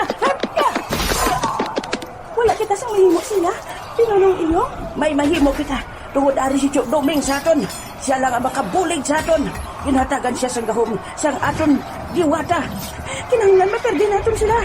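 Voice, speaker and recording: A woman playing an excitable leader of sword fighters in a comedic audio drama. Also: extremely high pitch. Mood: rallying, alarmed. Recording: loud music bed behind voice.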